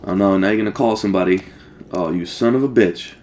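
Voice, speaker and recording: A man's voice: low voice, fast talker, a little mellow. Exclamatory man doing casual game commentary. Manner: profane, jokey, exclamatory. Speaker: Let's Play host.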